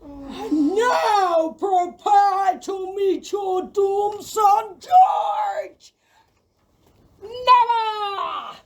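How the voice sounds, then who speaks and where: Audio play dragon, female performer: theatrical, good-natured, melodramatic, extremely slow, very high-pitched. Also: creaky, raised voice, exaggerated voice. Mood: melodramatic, mock agony. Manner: melodramatic, exclamatory. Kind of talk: children's audio play acting.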